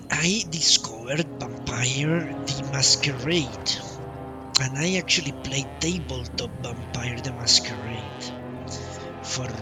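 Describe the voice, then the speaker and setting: Give me a slow-talking male streamer over music. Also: mid-range voice. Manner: halting, rambling, with broken-up phrases. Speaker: game streamer. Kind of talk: casual live playthrough commentary. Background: game music.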